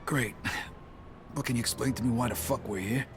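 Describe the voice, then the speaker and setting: An actor playing a wary young mobster in a video game, talking tough and streetwise. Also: male voice, mid-range voice, brisk, background noise, lightly acted. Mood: tense. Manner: streetwise, tense.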